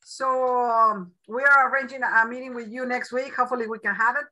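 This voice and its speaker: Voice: medium pitch, fairly even intonation, brisk, sharp tone, rough recording. A newspaper representative welcoming a newcomer on a video call in an upbeat way.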